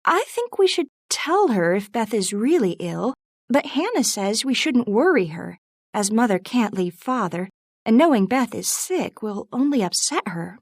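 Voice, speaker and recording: Woman reading as sensible eldest sister, calm, measured, dry recording. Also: a little high, dry recording, pitched above the performer's own voice, full character voice. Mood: worried. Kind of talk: storybook reading.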